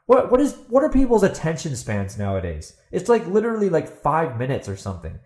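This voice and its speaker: A man's voice: a little high, huge pitch swings, fairly quick, darkish tone. A man giving an opinionated solo podcast monologue as host, speaking bluntly and emphatically, with some swearing. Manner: emphatic, blunt, swears, asks and answers own questions. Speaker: podcast host and fantasy author. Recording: roomy, echoey voice recording.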